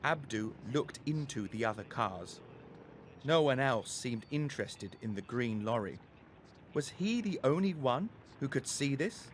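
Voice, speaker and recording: Man, dramatic children's narrator, slow, highly expressive pitch, noisy background. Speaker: storyteller.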